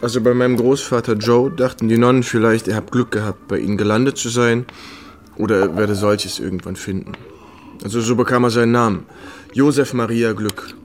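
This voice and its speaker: An actor playing an easygoing, courteous American army captain in a naturalistic, conversational radio drama style. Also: male voice, mid-range voice, fairly even intonation, brisk, slight background noise, lightly acted. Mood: confiding. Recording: faint music behind the voice.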